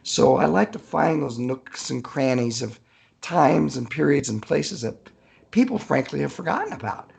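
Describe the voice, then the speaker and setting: A man with a gravelly, husky voice answering casually in an interview as an author, chatty and thinking aloud. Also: on the high side, darkish tone.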